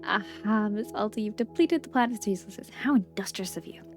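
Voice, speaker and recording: A woman voicing a gentle, unhurried male tea shop keeper from a video game, soft-spoken and politely formal in quaint phrasing. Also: mid-range voice, soft background music, lightly acted. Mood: kindly, approving. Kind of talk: video game dialogue read aloud.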